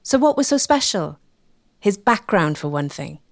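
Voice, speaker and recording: A woman reading scripted documentary narration in a measured, articulate way. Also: mid-range voice, very animated, dry recording, studio quality.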